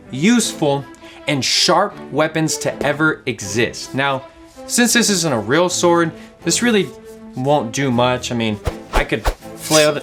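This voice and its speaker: A young man in his twenties from Louisiana with a Southern accent, teaching a playful kids' object lesson with lots of questions. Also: fairly high pitch. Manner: animated, playful, asks questions. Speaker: kids ministry teacher.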